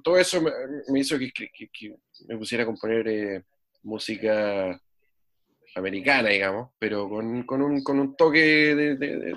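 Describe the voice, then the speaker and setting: A man's voice: medium pitch, a bit bright. A man from Chile with a Chilean accent, talking casually as an interview guest, rambling and repeating words. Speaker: guest musician.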